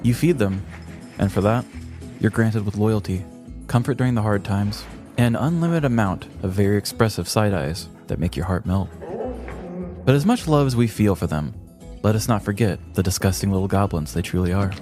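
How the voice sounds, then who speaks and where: Man, low-pitched voice, podcast host, scripted comedic narration, wry, clear music bed underneath. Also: lively intonation, moderate pace. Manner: wry, crude descriptive humor. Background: background music.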